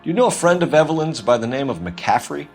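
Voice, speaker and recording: A man's voice: a little high, big pitch swings, fast talker, darkish tone, faint music behind. An actor playing an earnest police homicide detective in a 1940s crime game, formal and pressing in his questioning. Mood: businesslike.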